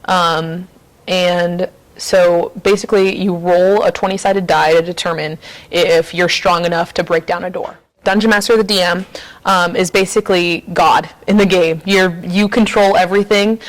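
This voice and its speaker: A woman with a lowish voice and little melodic movement. She explains things informally, as if in an interview, pausing and feeling for her phrasing. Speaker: interviewee explaining a tabletop game.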